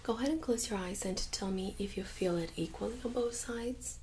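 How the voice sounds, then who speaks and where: A woman in her thirties speaking softly and often whispering, gently giving instructions as a nurse in an ASMR medical role-play. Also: medium pitch, a little fast, a little hiss or hum. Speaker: ASMR performer playing a nurse examiner. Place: small indoor room set up as exam room.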